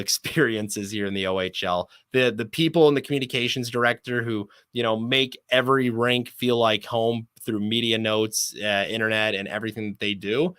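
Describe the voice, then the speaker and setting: A man's voice: lowish voice, a bit bright, no room sound. A man speaking as a sports broadcaster guest in an interview, enthusiastic and wordy.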